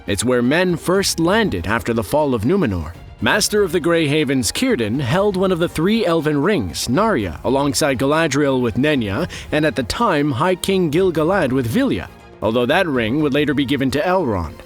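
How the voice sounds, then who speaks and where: Male narrator, fairly high voice, animated intonation, scripted explainer, playful asides, conversational, faint music behind. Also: normal speed. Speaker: presenter of a fantasy lore explainer video.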